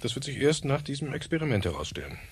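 A man playing a scientist who leads an experiment, explaining in a measured, formal way in a radio drama. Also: mid-range voice, big pitch swings, full character voice. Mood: measured, explanatory. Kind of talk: German radio drama acting. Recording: clear background noise.

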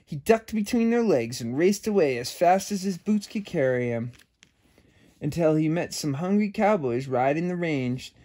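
A man's voice: on the high side, lively intonation, normal speed, warm tone. A man hosting children's story time, reading a picture book expressively with casual asides.

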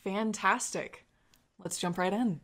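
A woman game master narrating a tabletop fantasy game with deliberate, descriptive storytelling. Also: medium pitch. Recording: music playing behind. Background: music.

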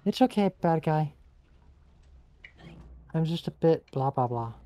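Mellow, teasing co-streamer. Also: medium pitch, expressive, measured pace. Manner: teasing, playful. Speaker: co-streamer guest. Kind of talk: casual chat.

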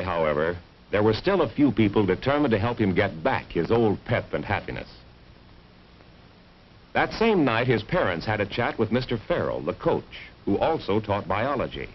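Deep-ish man narrating old 50s school film, dramatic and lively, muffled hissy soundtrack. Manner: dramatic storytelling with rhetorical emphasis. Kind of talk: scripted 1950s educational film narration.

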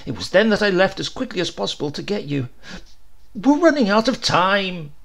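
A man's voice: high voice, very animated, normal speed, vocal fry, a bit bright, voice pushed up, heavily acted. A man voicing an old rural postman in a storybook tale, naive, earnest, urgent and bewildered. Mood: alarmed.

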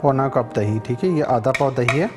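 A man with a Sindhi accent talks through a recipe in a studio kitchen, chatty and upbeat, checking in with viewers after nearly every step. His voice sits at an ordinary pitch, caught on a lapel mic. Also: normal speed, loud music behind the voice. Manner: chatty, switches between languages, checks in with "theek hai?" often. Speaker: TV cooking show host. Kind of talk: live TV cooking demonstration with phone-in callers.

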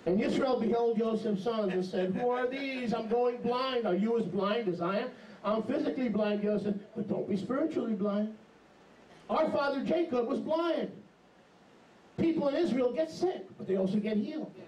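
A middle-aged man preaching an animated, emphatic sermon into a handheld mic in a small congregation hall. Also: extremely high pitch, normal speed, slight background noise. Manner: animated, emphatic, jumps into mocking voices. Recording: echoey hall, camera far off.

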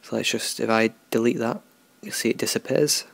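A man talking through a software tutorial in a relaxed, unscripted way, thinking aloud as he works. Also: medium pitch, fairly quick, vocal fry, no echo. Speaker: tutorial host. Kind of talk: screen-recorded software tutorial.

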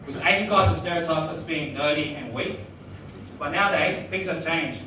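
A young man doing a stand-up comedy set in a small restaurant bar, delivering deadpan one-liners and laughing at his own lines. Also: on the high side, a little fast, a little hiss or hum. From Australia. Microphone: handheld mic, filmed from far back. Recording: echoey room from far off, loud crowd.

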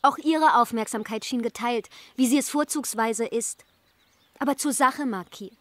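A woman's voice: fairly high pitch, moderate pace, a bit bright, no echo, close to an everyday voice. An actress playing a pert, impatient young girl in a radio drama, brusque and haughty. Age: young adult. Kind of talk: German radio drama acting.

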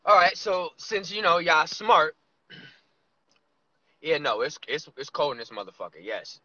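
A man in his thirties vlogging from inside a car, musing loosely with drawn-out asides. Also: fairly high pitch, very animated, bright, forceful tone. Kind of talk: casual rambling monologue. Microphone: phone camera on dashboard. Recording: lo-fi phone audio.

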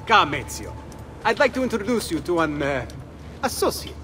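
An actor playing an effusive, theatrical Venetian ally in a video game. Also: male voice, sits high, very animated, moderate pace, sharp tone, slight background noise, heavily acted. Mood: eager, welcoming.

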